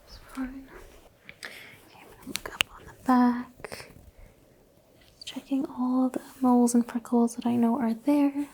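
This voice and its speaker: Young woman, gentle whispered doctor roleplay, low-energy fry, mellow tone. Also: flat delivery, unhurried, pauses often, slight background noise. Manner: soft whispering, gentle questions. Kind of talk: ASMR medical exam roleplay.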